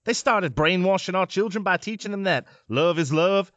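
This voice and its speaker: An actor playing a sanctimonious older parliamentarian in an audio drama, preachy and disapproving. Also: male voice, sits high, expressive, moderate pace, a bit bright, no echo, dull audio.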